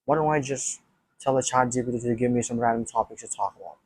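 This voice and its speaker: Non-native English male, husky mid voice, self-talk to camera, repeats and restarts a lot. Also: medium pitch, fast, darkish tone, rough recording. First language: not English. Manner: thinking aloud with many restarts and repeats. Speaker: language learner. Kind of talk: casual solo talk to camera.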